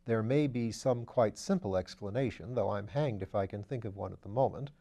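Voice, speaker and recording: A man reading a calm police inspector. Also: lowish voice, creaky, pitched below the performer's own voice. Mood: matter-of-fact. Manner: calm, matter-of-fact, measured. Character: steady, methodical male police detective.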